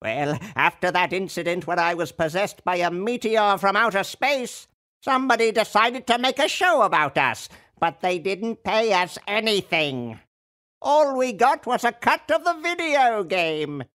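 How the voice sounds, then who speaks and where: An actor playing a cranky, melodramatic old mad scientist in a cartoon game. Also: male voice, sits high, lively intonation, a little slow, sharp tone, full character voice. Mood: regretful. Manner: melodramatic, grumbling.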